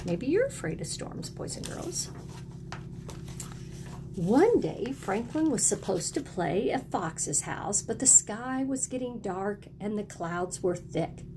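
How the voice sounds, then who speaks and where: Woman teaching young children over clear background noise. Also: medium pitch, sing-song, slow. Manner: encouraging, asks children questions and prompts repeats. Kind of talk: read-aloud lesson for young children.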